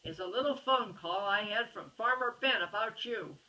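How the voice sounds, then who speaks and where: A huge grizzly father, growling and stern, scolding the cubs in a storybook reading, as a woman puts him on. Loud and firm, with a bright edge. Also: mid-range voice, moderate pace, far from the mic, natural voice, low-quality recording.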